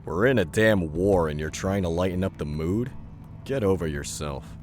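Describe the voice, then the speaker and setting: A man's voice: deep voice, normal speed, a little hiss or hum, natural voice. An actor playing a blunt, crude male ex-soldier who taunts his squad in an audio drama. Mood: scornful. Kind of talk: audio fiction acting. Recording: music bed underneath.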